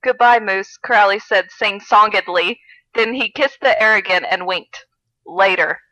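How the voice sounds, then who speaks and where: A woman's voice: mid-range voice, measured pace, pushed and bright, no room sound, low-quality recording. A woman co-hosting a podcast, giggly and wry as she chats and reads aloud for comedy. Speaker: podcast co-host. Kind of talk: comedic read-aloud of fan fiction with casual chat.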